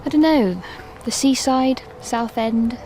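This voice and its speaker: British woman teacher, earnest and anxious, naturalistic radio drama, fairly high register, faint ambient noise. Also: slow pace, mellow. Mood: awkward, subdued. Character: earnest, worried woman English literature teacher with a partner.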